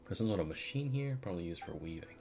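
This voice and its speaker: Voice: low voice, lively intonation, fast talker. A game streamer giving laid-back, playful playthrough commentary and reading on-screen text aloud in a casual way. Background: game music.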